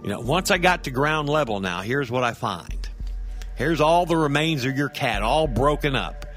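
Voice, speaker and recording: A man's voice: mid-range voice, animated, moderate pace, a bit bright, close to an everyday voice. Casting: male actor as indignant, rattled granddad for comic horror audio drama; chatty, stammering storyteller over music. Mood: amazed, uneasy. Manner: conversational, stammering, seeking agreement with tag questions. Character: rattled, indignant grandfather phoning in about a monster cat. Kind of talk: first-person horror-comedy monologue for audio drama.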